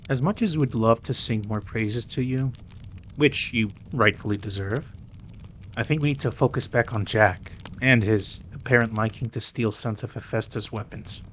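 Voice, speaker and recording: A man acting as a dominant, cocky mafia boss in an intimate audio roleplay, smooth-talking, teasing and possessive. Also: mid-range voice, normal speed, fairly bright tone, a little hiss or hum, close to an everyday voice. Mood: confident, plotting.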